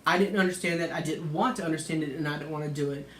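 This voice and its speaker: Vlogger, woman, low and soft-spoken, chatty and sassy; echoey room, noisy. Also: rapid-fire. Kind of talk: casual chat. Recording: echoey room with background noise.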